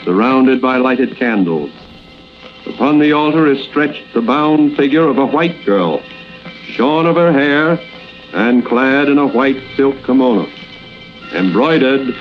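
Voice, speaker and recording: A man narrating an old-time radio serial in a measured, dramatic way, setting each scene with care. His voice sits at an ordinary pitch. Music plays faintly under him, and the sound is dull and muffled.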